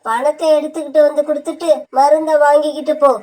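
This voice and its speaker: A woman plays a hard-hearted hawk doctor in a cartoon who turns patients away without money. The voice is very high and clipped. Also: level tone, quick pace, mellow, lightly acted. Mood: stern. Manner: curt, refusing. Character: stern hawk doctor, stingy.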